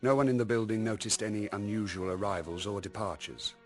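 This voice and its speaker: A deep, measured male actor's voice reports crime details in a radio play. The delivery is factual and orderly, with faint music underneath. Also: steady tone, close to an everyday voice. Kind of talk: scripted radio drama narration.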